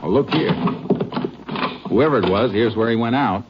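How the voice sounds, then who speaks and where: An actor playing a steady, methodical Texas Ranger investigator, measured and matter-of-fact, in old-time radio western style. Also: male voice, mid-range voice, lightly acted. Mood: intent, observant. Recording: muffled, noisy old radio broadcast recording.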